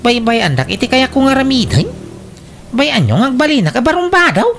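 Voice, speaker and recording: A woman's voice: high voice, big pitch swings, brisk, creaky, soft background music, exaggerated voice. A woman playing a stubborn, lazy young son who gambles, whiny and defiant, in an Ilocano-accented radio drama.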